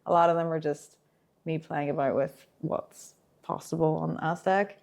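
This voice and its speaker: A woman from Ireland with an Irish accent tinged with American, speaking as a developer relations engineer in a conference interview, enthusiastic and quick to laugh. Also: sits low, flat delivery, gravelly, a little mellow. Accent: Irish with some American. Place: conference venue.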